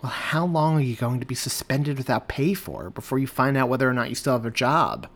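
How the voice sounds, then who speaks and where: A man's voice: medium pitch, expressive, brisk, fairly bright tone. A man reading aloud as a wife and mother who grows irate and hurt, her voice near breaking. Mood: anxious. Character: upset woman, wife and mother.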